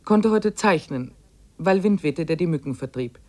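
An actress playing an observant expedition diarist, giving measured literary narration in a 1950s German radio drama. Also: female voice, on the low side, animated, mellow, lightly acted. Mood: observant, hushed. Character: observant expedition diarist recounting days in the wilderness.

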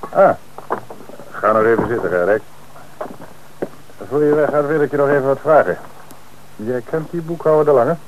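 An actor playing a calm, authoritative police commissioner in an old radio play, on a noisy old radio recording. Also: male voice, mid-range voice, dull audio, close to an everyday voice. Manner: measured, authoritative. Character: middle-aged male police commissioner, calm and dry. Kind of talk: 1960s radio play acting.

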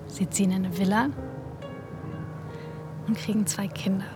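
A woman's voice: medium pitch, little pitch movement, moderate pace, over music, natural voice. Radio drama role for an actress: ambitious woman looking back, wry and colloquial, mid-range voice. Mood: wistful, wry. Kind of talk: radio drama first-person narration and dialogue.

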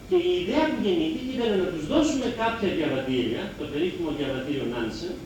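Male lecturer, high and very animated voice, emphatic explainer with halting self-corrections, roomy live-hall sound. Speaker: history lecturer. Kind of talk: public lecture, semi-improvised explaining. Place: lecture hall, speaking to an audience. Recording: very echoey hall, distant mic, faint noise.

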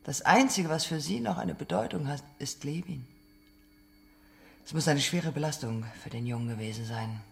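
An actor playing a relentless, accusing interrogator in a German radio drama, speaking in short pointed phrases. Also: male voice, on the high side, normal speed, vocal fry, a bit bright. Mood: probing, controlled.

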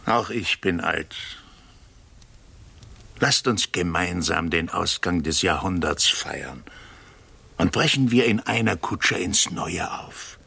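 An actor playing an aged, generous prince and field marshal in a radio drama, speaking in a stately, emphatic, rough-edged voice. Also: male voice, deep voice, animated, slow, sharp tone, no room sound, exaggerated voice. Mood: insistent. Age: older. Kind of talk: verse radio drama acting.

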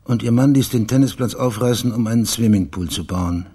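A man's voice: lowish voice, brisk, mellow, husky. A man playing a persistent investigator in a radio crime drama, asking polite, matter-of-fact questions. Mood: politely probing. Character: probing, persistent male investigator on a case.

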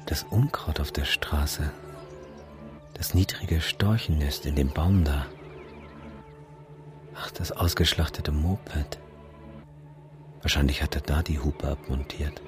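Brooding male wanderer for radio-play monologue; extremely low, pensive murmur, music underneath. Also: slow pace, pauses often. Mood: brooding, observant. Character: brooding male wanderer narrating his observations of deserted villages. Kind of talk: reflective radio drama monologue.

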